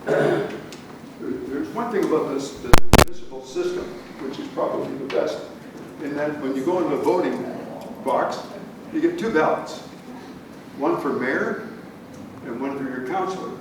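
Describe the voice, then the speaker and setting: Moderator in a noisy, echoing hall. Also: male voice, fairly high pitch, normal speed. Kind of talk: debate moderation and explaining. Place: public meeting hall. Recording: echoey hall, distant mic, loud noise.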